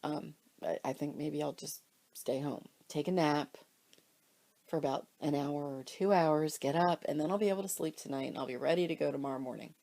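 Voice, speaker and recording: A woman's voice: low voice, a little fast, vocal fry, dark tone. A woman with a cold, congested and sniffly, chatting casually and rambling about her stitching purchases while filming at home. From Pennsylvania, US. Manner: chatty, rambling, self-deprecating. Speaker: cross stitch vlogger. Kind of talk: casual show-and-tell chat. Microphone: camera or phone filming fabrics, speaker off screen.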